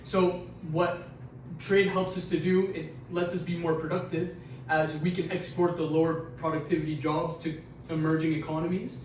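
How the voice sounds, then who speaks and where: Young man as an economist, deliberate, somewhat high voice, heavy room echo. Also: slight background noise, lightly acted. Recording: very echoey room, far mic.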